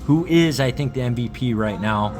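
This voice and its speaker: A man's voice: medium pitch, normal speed. Man giving casual sports analysis over music. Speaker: podcast guest, sports analyst.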